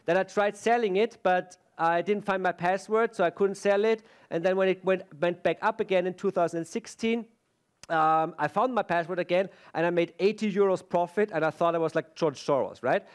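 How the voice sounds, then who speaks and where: Austrian guy, german accent, fairly high voice, rambly friendly conference talk on a stage. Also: normal speed. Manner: chatty, self-deprecating, many fillers and restarts. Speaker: conference speaker.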